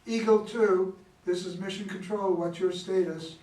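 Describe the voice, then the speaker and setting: A man's voice: high-pitched, fairly even intonation, a little slow, mellow. A man playing an earnest, by-the-book mission control officer in an amateur play reading, speaking in a formal, procedural way. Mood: businesslike. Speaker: amateur reader of a play, also reading stage directions. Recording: echoey room, distant mic, background noise.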